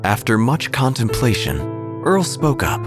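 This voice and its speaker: Deadpan male narrator over music. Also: mid-range voice, a little slow. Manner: dry, deadpan delivery. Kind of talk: scripted comic narration. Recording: music bed under the voice.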